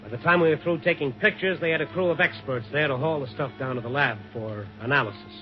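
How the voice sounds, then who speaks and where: An actor with an American accent playing a weary, wry newspaper reporter in a 1950s radio drama, both narrating and talking in scenes. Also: male voice, on the high side, quick pace, lightly acted. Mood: grave narration. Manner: wry, hard-boiled. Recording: dull 1950s radio recording with music.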